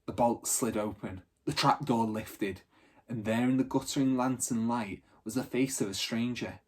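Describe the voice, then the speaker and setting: A man narrating a children's storybook aloud in an expressive, teacherly reading style. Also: mid-range voice, normal speed, breathy edge.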